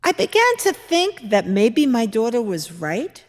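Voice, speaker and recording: Slow, echoing woman playing a skeptical biblical mother-in-law. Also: on the high side. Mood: reflective. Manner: deliberate, expressive, reflective storytelling. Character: St. Peter's mother-in-law, skeptical older Galilean mother recounting memories. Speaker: dramatic reader at a church service. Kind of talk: dramatic monologue, measured storytelling. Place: large church interior. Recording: echoey church hall, long reverb.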